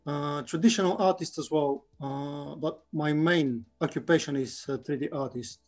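A man in his forties from Bulgaria, speaking English, talking haltingly as a guest in a casual interview. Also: on the high side. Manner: halting, with many restarts and fillers. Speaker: guest, 3D artist.

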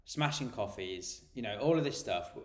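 A man with a lowish voice and a stuffy nose from a cold, talking through a solo podcast episode as a health coach. He explains things in a relaxed, informal way, and the room gives his voice a noticeable echo. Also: moderate pace.

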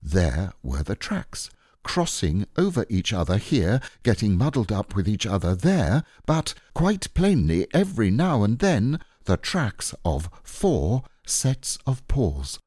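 A man narrating a children's storybook in a measured, expressive way. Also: lowish voice, husky, no echo, clean studio sound. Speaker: audiobook narrator.

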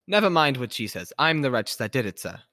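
A man's voice: medium pitch, sing-song, a little fast, pushed and bright, no room sound, clean studio sound. A man playing a charming young man who gives a playful, mock-formal speech in an engaging manner.

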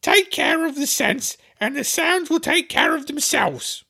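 A shrill, creaky Duchess. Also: male voice, lively intonation, pushed and bright, full character voice. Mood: preachy. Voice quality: shrill high falsetto. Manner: sing-song, drawn-out lecturing. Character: fussy moralizing older Duchess, clingy, agreeable. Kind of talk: audiobook character voice.

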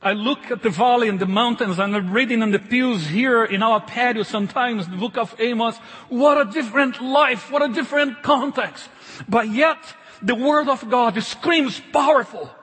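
A middle-aged man with a foreign accent, not a native English speaker, preaches a sermon as a pastor in an emphatic, halting way, repeating phrases. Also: high voice, a bit bright, slightly rough. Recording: reverberant large hall.

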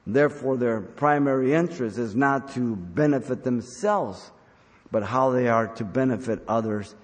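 Older man, pastor, sermon delivery, earnest, exhorting, emphatic repetition, mid-pitched voice, long hall echo. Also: a little mellow. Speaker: pastor preaching to his congregation. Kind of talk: sermon with scripture reading.

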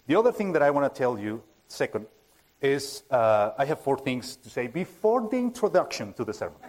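Halting preacher with a Spanish accent. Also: male voice, mid-range voice, very animated, a little mellow. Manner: halting and self-joking, many fillers and restarts. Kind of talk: informal sermon to a congregation. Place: church hall with a full audience.

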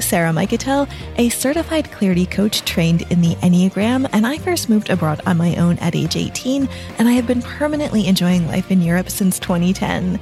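A woman's voice: medium pitch, a little mellow. A woman hosting a travel podcast, reading a polished, upbeat intro and then interviewing her guest. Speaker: podcast host.

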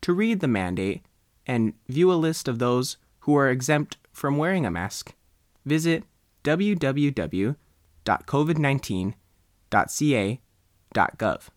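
A man with an American accent reading a scripted news report as a reporter, in deliberate phrases with frequent pauses. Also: medium pitch, very animated, no echo, studio quality. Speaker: newspaper reporter narrating.

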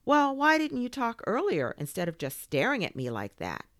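Woman playing an exasperated, high-pitched young boy. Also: very animated, takes it slowly, gravelly, no room sound, clean studio sound, exaggerated voice. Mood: indignant. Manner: indignant, questioning.

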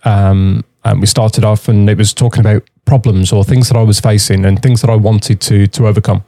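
A male podcast host with a dark, mellow voice, recorded close and dry with no room sound. He chats in an agreeable way, with plenty of fillers, and turns his points into questions for his guest. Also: fairly low pitch, little pitch movement, a little fast, dry recording. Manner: agreeable, uses tag questions, many fillers. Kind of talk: podcast conversation.

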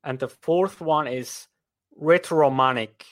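Slow, halting Swiss German man. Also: a little high. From Switzerland. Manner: halting, with frequent restarts and "right?" tags. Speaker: podcast guest. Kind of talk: casual interview chat.

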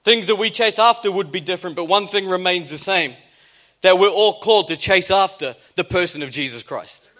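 A man's voice: sits high, fairly quick, bright, forceful tone. A man with a New Zealand accent, a pastor giving a conversational sermon with jokes and emphatic repetition.

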